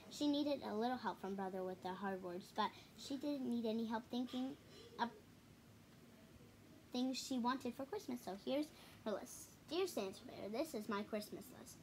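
A young girl of about ten to twelve reading a children's picture book aloud haltingly, with stumbles, repeats and casual asides. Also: on the high side, slight background noise. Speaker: storybook reader. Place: small living room at home, indoors.

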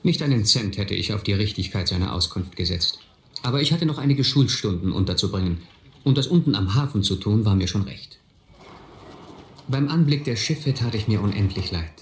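Low, reflective male narrator. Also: animated, moderate pace, a little hiss or hum. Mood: reflective, wry. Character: reflective man recalling his boyhood. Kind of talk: first-person radio play narration. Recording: roomy, music behind.

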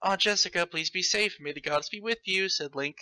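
A man with a very bright, forceful, fairly high voice reads a story aloud in a theatrical, mocking way. He drops in his own comic commentary, and the sound is close and dry. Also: brisk, no echo. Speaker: host reading fan fiction aloud. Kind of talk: comedic dramatic read-aloud with commentary.

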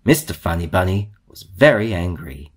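A man reading a children's storybook aloud in a theatrical way, with drawn-out emphasis. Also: sits low, sing-song, slightly rough. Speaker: storybook reader.

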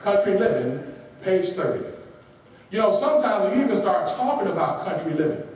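A man's voice: sits high, lively intonation, a little fast, a little mellow. A man preaching a sermon, reading quotations with their page numbers and asking his congregation rhetorical questions that they answer. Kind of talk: sermon with call and response. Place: large church hall. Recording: echoey hall from far off.

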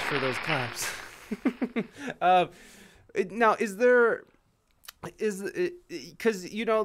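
A man's voice: high voice, a bit bright, a little hiss or hum. A man hosting a casual streamed talk show, bubbly and self-mocking, stumbling over his words. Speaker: podcast host. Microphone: headset and studio mic on webcam.

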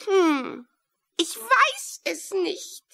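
High, bright talking crow. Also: female voice, sing-song, vocal fry, full character voice. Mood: dramatic, reporting. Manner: theatrical, deliberate delivery with caws. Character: excitable talking female crow, messenger and friend. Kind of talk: children's audio play acting.

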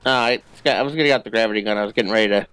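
A man's voice: on the low side, very fast, fairly bright tone, clean tone, low-quality recording. A man chatting casually as he plays a co-op video game, with playful, jokey asides. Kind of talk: casual co-op gameplay chat. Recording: game gunfire under the voice. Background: video game gunfire and ambience.

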